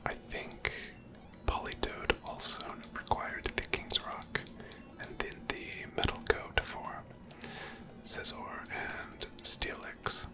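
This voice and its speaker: Slow, whispered ASMR gaming voice. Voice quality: whispered, breathy. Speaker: gameplay host. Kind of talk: whispered ASMR video game commentary.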